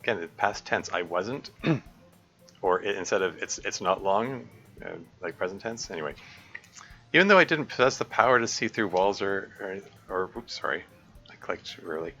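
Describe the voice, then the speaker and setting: Low bright-toned streamer, self-correcting. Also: male voice, animated. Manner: halting, self-correcting, laughs often. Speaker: streamer reading a visual novel aloud. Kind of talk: live stream playthrough, reading and commenting. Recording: dull lo-fi stream audio, faint music.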